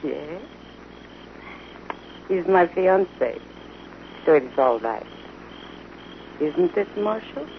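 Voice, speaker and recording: Coy young frontier temptress. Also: female voice, on the low side, animated, drawn-out words, vocal fry, long pauses, heavily acted. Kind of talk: 1950s radio western acting. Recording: dull, hissy old radio broadcast recording.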